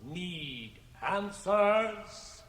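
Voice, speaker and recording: Stage magician, incantatory, deliberate, grand, echoing hall, radio drama. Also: male voice, sits high, animated, a bit bright, exaggerated voice. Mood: grand, invoking. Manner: theatrical, incantatory, measured proclamation. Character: grandiose stage magician commanding a solemn illusion show. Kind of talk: 1980 radio drama acting. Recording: echoey theatre effect, cassette radio transfer.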